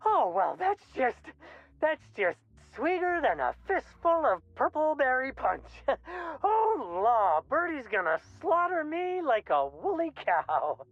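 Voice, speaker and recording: An actress playing a jittery, folksy company mascot in a comic video game, flustered and theatrical, with a muffled sound. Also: female voice, high voice, huge pitch swings, slow pace, dry recording, heavily acted. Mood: flustered relief.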